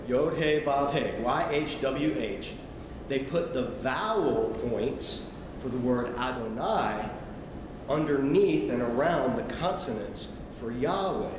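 A man teaching a sermon in a conversational, explanatory way, with asides to his listeners. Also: medium pitch. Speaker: preacher. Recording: echoey hall, noisy ambience.

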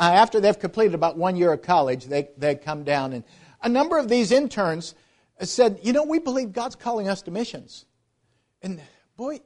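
A middle-aged man, a missionary, giving an earnest church presentation about his ministry, halting often and restarting his sentences. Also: a little high, darkish tone.

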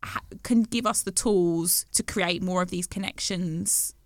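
A woman from the West Midlands in a casual discussion, animated and playful, quick to tease and laugh. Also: fairly low pitch, dry recording, clean studio sound. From West Midlands, England.

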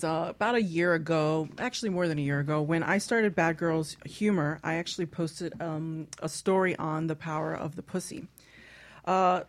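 A woman hosting a casual radio talk show, playful and quick to laugh, reading a short excerpt aloud. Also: deep voice. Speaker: host.

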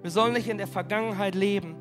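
A man preaching as pastor, energetic and rallying his congregation, with a cold that makes him hoarse. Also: high voice, quick pace, over music. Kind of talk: sermon. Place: church hall.

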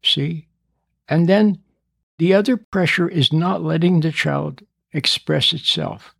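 A man's voice: fairly high pitch, slow, gravelly, fairly bright tone, no echo. A man in his seventies who stutters at times, giving an earnest talk to parents, repeating and rephrasing his points. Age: 70s. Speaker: speaker on parenting. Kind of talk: recorded talk addressing parents.

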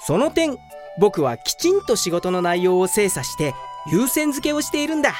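A woman's voice: mid-range voice, sing-song, normal speed, music in the background, close to an everyday voice. A woman playing a lazy, smug young male office worker in a comedic radio drama, with an earnest, matter-of-fact manner.

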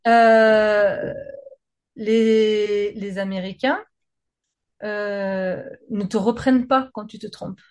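A woman's voice: mid-range voice, fairly even intonation, extremely slow, a little mellow, clear, resonant tone. A woman from France with a French accent, chatting casually and talkatively in informal, slangy language. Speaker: singer, guest. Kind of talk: casual conversation.

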